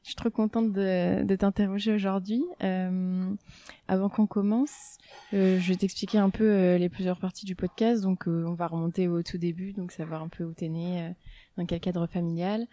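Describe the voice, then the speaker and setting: A woman hosting a podcast, reading a lively intro and then chatting casually with her guest. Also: fairly low pitch, normal speed. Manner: upbeat, chatty, uses fillers. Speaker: podcast host.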